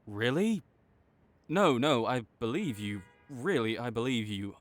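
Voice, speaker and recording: A man's voice: mid-range voice, lively intonation, measured pace, no room sound, faint music behind, lightly acted. A man acting a cocky, teasing but secretly caring supervillain rival in a dramatic audio roleplay, bantering and exasperated. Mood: grudgingly concerned. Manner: bantering, exasperated, faltering into awkward concern.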